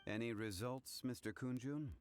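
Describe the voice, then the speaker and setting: An actor playing a composed, learned gentleman in a video game, speaking formally and deliberately. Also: medium pitch, slight background noise, close to an everyday voice. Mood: calm, inquiring.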